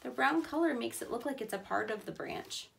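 A woman in her thirties speaks in a fairly high voice with a noticeable creak. She reads aloud like a preschool teacher, keeping her phrases simple and stressing key words for small children. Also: big pitch swings, fairly quick, slight background noise. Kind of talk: read-aloud for young children.

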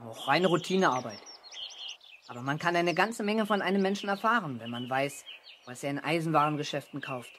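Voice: mid-range voice, lively intonation, brisk, fairly bright tone, a little hiss or hum, natural voice. A young performer playing an eager, self-important boy detective in a 1970s German children's radio play, earnestly reasoning aloud. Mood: suspicious, eager. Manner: earnest, deductive, musing aloud.

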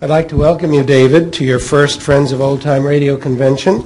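A middle-aged American man with a husky voice, reading a scripted introduction as a panel moderator. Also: fairly high pitch, little pitch movement, a little fast, a little mellow. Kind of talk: scripted introduction read aloud. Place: hotel meeting room at a convention. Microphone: table microphone on a stand.

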